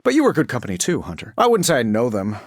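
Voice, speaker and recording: An actor playing a cocky, quippy superhero inventor in a video game, glib and sarcastic. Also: male voice, mid-range voice, wildly swooping pitch, fast, no room sound, studio quality. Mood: wry, confident. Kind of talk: video game voice acting, scripted quips.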